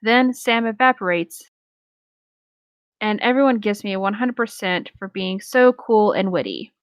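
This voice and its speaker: A woman's voice: mid-range voice, animated, unhurried, no room sound. A woman chatting casually and reading a story aloud, in an informal, rambling way.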